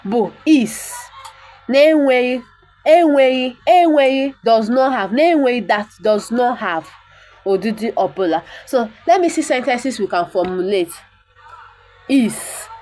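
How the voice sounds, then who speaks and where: A woman's voice: on the high side, lively intonation, unhurried, darkish tone, faint music behind. A woman, an Igbo speaker, teaching the language by reading a bible verse and repeating words for pronunciation. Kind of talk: reading and explaining a bible text.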